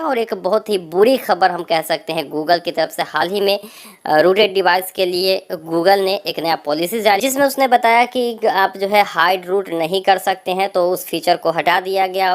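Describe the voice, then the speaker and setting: A woman with an Indian accent presents an informal news update, talking conversationally and straight to viewers. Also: fairly low pitch.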